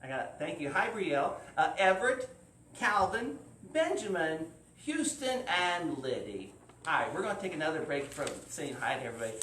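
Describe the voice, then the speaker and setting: A man's voice: a little high, big pitch swings, moderate pace, fairly bright tone. A man hosting a live children's story time, informal and drawing out words for young listeners. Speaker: library storyteller and host. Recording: roomy, distant mic, faint noise.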